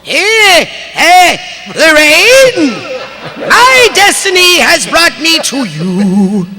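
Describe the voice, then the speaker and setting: A man voicing a nervous, awkward young man declaring love, halting and overexcited, in a live comic script reading. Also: extremely high pitch, sing-song, pushed and bright, long reverb tail, slight background noise, exaggerated voice. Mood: flustered, lovestruck.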